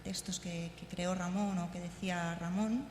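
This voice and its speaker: A woman from gives an informal talk introducing audio clips, haltingly and repeating words as she explains. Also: lowish voice, fairly even intonation, a little mellow. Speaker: conference speaker. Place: large indoor congress hall. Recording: echoey hall, long reverb, faint noise.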